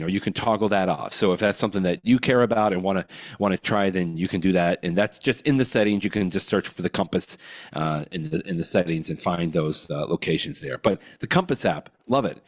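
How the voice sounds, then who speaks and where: A man's voice: low voice, expressive, a little fast. An American man co-hosting a tech podcast, explaining tips in a casual, enthusiastic way. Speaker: podcast co-host. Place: hotel room.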